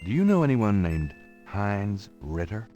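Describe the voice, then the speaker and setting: A man's voice: on the low side, very animated, unhurried, mellow. A man playing an inquisitive, easygoing grown grandson, the hero of an adventure game, asking casual, probing questions. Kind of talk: voice acting in a 1990s adventure game. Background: game music.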